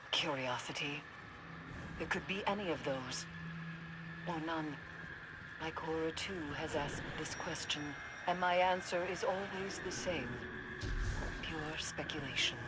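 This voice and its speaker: An actress playing a brooding scholar of dark mysteries in a video game, speaking in a solemn, deliberate, cryptic manner. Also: female voice, low-pitched, heavy background noise. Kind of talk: dramatic game voice acting.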